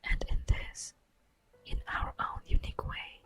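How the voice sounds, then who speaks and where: A woman playing a solemn, tender elf guardian of the forest in a breathy, whispered ASMR roleplay, speaking formally and poetically. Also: fairly bright tone, close to an everyday voice. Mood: tender, earnest. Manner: slow, formal, poetic, drawn-out delivery.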